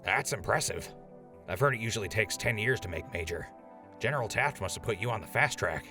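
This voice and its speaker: An eager wasteland radio host, played by a man with a low, gravelly voice. He talks in chatty broadcaster patter, and clear background music runs beneath. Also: animated, fairly quick. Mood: upbeat. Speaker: radio show host in story. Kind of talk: audio drama acting.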